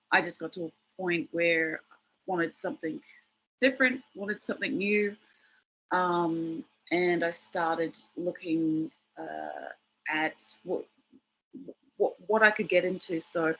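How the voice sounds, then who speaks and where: A woman's voice: lowish voice, fairly bright tone, husky, low-quality recording. A woman speaking as a guest on a video call, chatting casually with lots of fillers and the odd laugh. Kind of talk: casual online talk.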